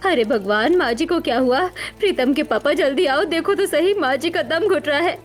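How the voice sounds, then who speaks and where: A woman acts out a poor daughter-in-law in a village melodrama. Her voice is high and distressed, imploring, with music behind. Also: lively intonation, moderate pace. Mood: alarmed. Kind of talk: melodramatic Hindi story dramatization.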